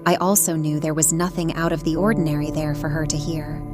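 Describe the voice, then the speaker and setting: A woman voicing a devoted, perceptive pet cat, telling a story in a measured, earnest way. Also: sits low, steady tone, lightly acted. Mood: calm, attentive. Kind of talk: first-person dramatic story narration. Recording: narration over prominent music.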